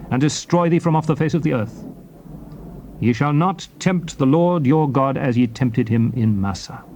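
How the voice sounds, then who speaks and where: A man giving a solemn, dramatized reading of King James scripture, declaiming it in deliberate phrases. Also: fairly high pitch, a little mellow. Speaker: scripture reader. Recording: noisy old cassette tape recording.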